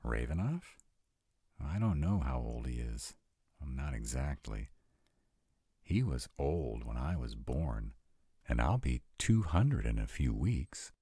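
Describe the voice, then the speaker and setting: A man performs a sarcastic male vampire in an intimate roleplay audio, with an extremely low, husky voice. He talks expressively and conversationally, an anxious immortal who still sounds young at heart, his pitch swinging widely. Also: sing-song, takes it slowly, mellow, no echo, clean studio sound, exaggerated voice. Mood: sardonic, musing. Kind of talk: intimate one-sided roleplay audio acting.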